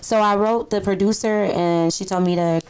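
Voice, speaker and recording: Slightly raspy woman in casual chat. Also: medium pitch, steady tone, moderate pace, a little mellow. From Detroit, United States. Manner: informal, slangy. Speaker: podcast guest, actress.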